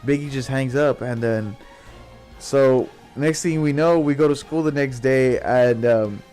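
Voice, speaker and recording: A man's voice: medium pitch, a little slow, a little mellow, soft background music. A young adult man telling a casual story, slangy, rambling and sweary.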